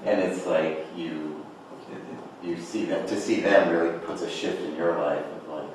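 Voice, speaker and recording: A man's voice: deep voice, steady tone, a little slow. A man, an actor, reflecting casually in a group discussion in a rambling, like-filled way. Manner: rambling, frequent "like". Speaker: actor in a table-read group. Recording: echoey room, far mic, clear noise.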